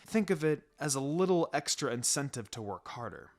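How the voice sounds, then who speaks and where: A man voicing a stern ninja teacher who gives instructions in a measured, pleasant, faintly menacing way. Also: a little high, expressive, lightly acted. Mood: calm, instructing. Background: background music.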